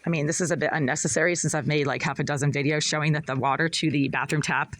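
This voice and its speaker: A woman with a raspy voice speaking in a rambling, insistent, accusatory monologue to a phone in her apartment bathroom. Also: sits low, steady tone, fast, slight background noise. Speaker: vlogger.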